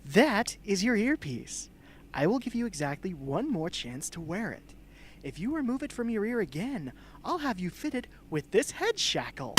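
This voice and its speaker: A woman playing a sardonic, threatening guardian in a radio drama, bossy and deliberate in manner. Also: mid-range voice, slight background noise, close to an everyday voice. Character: sardonic, threatening guardian who relishes giving orders. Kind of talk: amateur radio drama acting.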